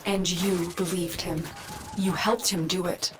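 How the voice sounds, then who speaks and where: A woman playing an authoritative mentor who guides a warrior in a video game, commanding and measured. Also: lowish voice, level tone, lightly acted. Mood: concerned, questioning. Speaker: mission guide. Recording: loud noise behind the voice.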